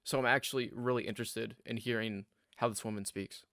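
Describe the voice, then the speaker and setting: A man's voice: mid-range voice, steady tone, a little fast, fairly bright tone, dry recording, studio quality. American man hosting a reaction video. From United States. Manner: chatty, analytical. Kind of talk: casual commentary on video clips.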